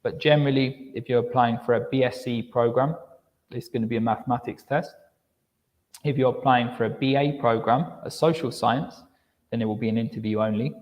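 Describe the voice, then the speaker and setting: A man presenting university admission steps in a live online session, explaining clearly, often repeating words as he restarts and checking in with "okay". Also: mid-range voice, fairly even intonation, a little fast, a little mellow, in a large hall, rough recording.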